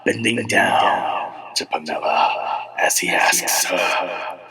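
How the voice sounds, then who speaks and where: A man's voice: sits low, takes it slowly, bright, forceful tone, breathy edge. A man reading scripted narration for an audio drama.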